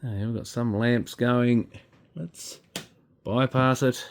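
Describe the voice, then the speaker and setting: A man's voice: mid-range voice, steady tone, slow pace, slight background noise. A man with an Australian accent giving casual, unscripted hands-on commentary as a repairer, musing in an understated way. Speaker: electronics repairer presenting his channel.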